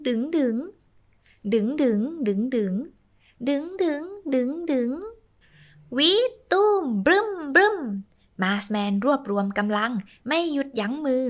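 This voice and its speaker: A woman narrates a rhyming children's tale in a bouncy, sing-song way, throwing in playful sound effects. Her voice sits somewhat high and swoops widely in pitch. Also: lively intonation, slow. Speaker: storyteller.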